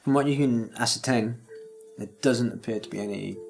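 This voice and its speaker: Halting male game master. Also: medium pitch, creaky, darkish tone. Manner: halting, searching, descriptive delivery. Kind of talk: improvised tabletop game narration.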